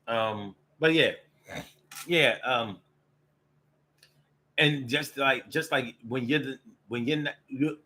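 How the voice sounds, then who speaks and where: A man's voice: medium pitch, takes it slowly, pauses often, bright, forceful tone. An American man giving a casual, opinionated solo monologue in streetwise slang, repeating phrases for emphasis. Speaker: solo show host.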